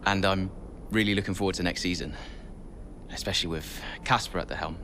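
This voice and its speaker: A man playing a subdued racing driver, talking in a halting, downbeat way with noise around him. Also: low voice, fairly even intonation, pushed and bright. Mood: reluctant. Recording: noticeable ambient and vehicle noise.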